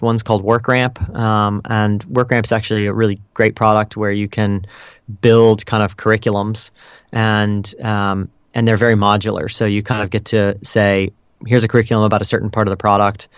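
A man answering interview questions as a guest, explaining things in a measured, conversational way with casual hedges. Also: fairly low pitch, clear, resonant tone, dry recording. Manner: explanatory, measured, hedging with "kind of" and "you know". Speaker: interview guest, customer support director.

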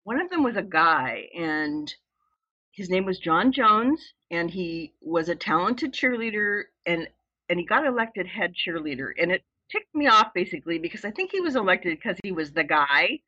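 Woman, 70s, from Southern California, podcast guest, loud exuberant storyteller, forceful tone, dull lo-fi sound. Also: on the low side, big pitch swings, moderate pace, dry recording. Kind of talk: telling a personal story in an interview.

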